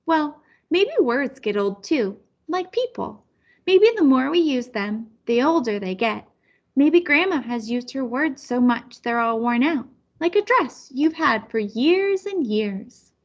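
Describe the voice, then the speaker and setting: A woman's voice: high voice, animated, a little slow, dull audio, heavily acted. A woman voicing a curious, caring little girl in a storybook, wondering and questioning.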